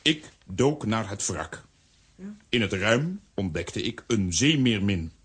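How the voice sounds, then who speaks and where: An actor playing a simple-minded strongman who speaks in gruff, clipped phrases, in a children's radio play. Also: fairly low pitch, very animated, slow pace, a bit bright, heavily acted. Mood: reading aloud. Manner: clipped short sentences, refers to himself by name. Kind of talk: Dutch-language children's radio play acting.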